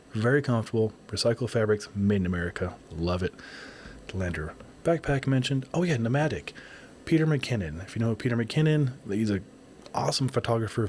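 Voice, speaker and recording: A man with a raspy voice from filming in strong wind, giving his viewers a rambling, casual update on gear he is reviewing. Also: mid-range voice, darkish tone. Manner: rambling, corrects himself, lists products quickly. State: raspy from shooting in high winds. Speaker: gear reviewer and podcast host.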